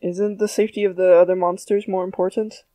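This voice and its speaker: A woman playing a calm, reassuring young human adventurer, plain and understated, in an audio drama. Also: medium pitch, brisk, dry recording, lightly acted. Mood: pleading. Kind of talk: fan audio drama acting.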